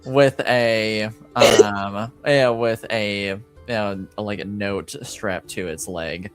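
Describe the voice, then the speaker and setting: A man improvising narration as a game master, hesitant and often pausing to find his words. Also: lowish voice, fairly even intonation, faint music behind.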